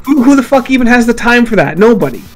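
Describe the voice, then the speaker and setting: A high-pitched man hosts a casual podcast over a voice call. He talks in a halting, sweary, self-mocking way. Music plays behind him. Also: big pitch swings. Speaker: podcast host. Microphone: voice call microphone.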